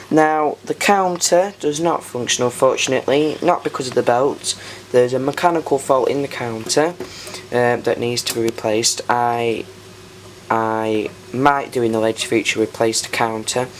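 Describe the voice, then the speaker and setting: A British man with a medium-pitched voice presents informally, like a hobbyist showing off his gear. He speaks haltingly, thinking aloud as he goes. The home camera recording has clear background noise and clicks. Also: rough recording. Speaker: hobbyist presenter showing restored vintage tape machines. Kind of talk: informal hands-on show-and-tell.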